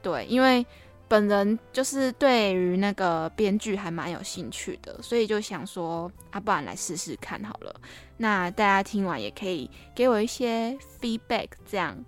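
A woman's voice: medium pitch, animated, moderate pace, faint music behind. Playful young woman hosting a podcast. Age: young adult. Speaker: podcast host. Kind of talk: casual, chatty podcast monologue.

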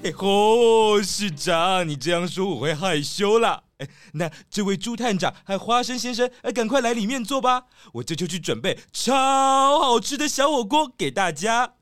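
A man's voice: high voice, expressive, moderate pace, few pauses, fairly bright tone, smooth, dry recording, clean studio sound, soft background music. A man voicing a jolly, bashful brown bear who runs a hot-pot shop in a children's radio drama, with a hearty, welcoming manner. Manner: hearty, exclaiming, hospitable.

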